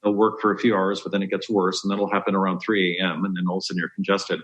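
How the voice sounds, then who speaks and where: A man's voice: low-pitched, flat delivery, rapid-fire, a bit bright, poor audio. A man giving health advice as a dentist on a podcast, explaining step by step in an emphatic, conversational way.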